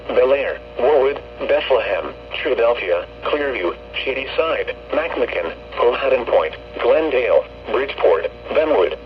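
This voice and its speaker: Automated male announcer, mid-pitched text-to-speech, formal and measured, reading storm warnings over dull, faintly noisy radio. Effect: weather radio broadcast. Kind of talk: scripted severe weather warning bulletin. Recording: muffled lo-fi radio with hum, noise.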